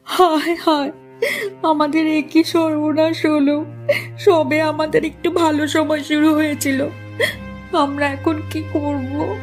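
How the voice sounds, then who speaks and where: A woman's voice: high voice, flat delivery, slow pace, faint music behind. A woman voicing a distraught, tearful village wife lamenting in a moral-story cartoon. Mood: tearful despair. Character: distraught village wife wailing over a loss.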